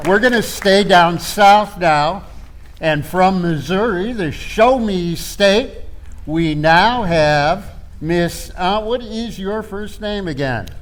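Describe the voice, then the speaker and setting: Slow, very high-voiced male host. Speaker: event host. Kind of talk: introducing storytellers on stage.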